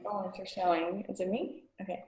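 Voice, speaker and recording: A woman's voice: medium pitch, steady tone, normal speed, a little mellow, roomy sound, poor audio. A woman moderating a student panel on a video call, friendly and hesitant with fillers.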